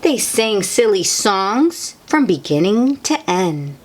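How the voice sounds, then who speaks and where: A woman reading a picture book aloud to young children in an expressive, sing-song way, with chatty asides to the listeners. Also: medium pitch, slow pace, a little hiss or hum. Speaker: children's read-aloud host.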